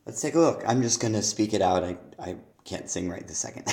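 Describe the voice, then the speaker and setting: Male teacher, hoarse with laryngitis, very low gravelly voice; gentle, halting feedback with many ums. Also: expressive, roomy sound. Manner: hesitant, many ums, speaks lyrics aloud slowly. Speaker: songwriting teacher. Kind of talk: spoken feedback on a student's lyrics.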